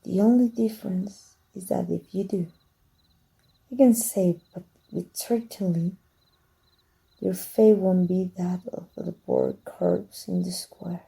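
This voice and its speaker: A woman from Mexico voicing a cunning dark magic teacher in an ASMR roleplay, speaking softly and measured, sometimes dropping to a whisper. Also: lowish voice, steady tone, creaky, breathy edge, poor audio. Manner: soft, measured, drops to whispers. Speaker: voice actor.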